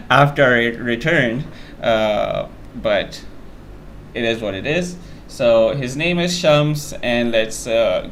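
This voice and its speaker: A man's voice: medium pitch, slight background noise. Casual, rambling male interview host.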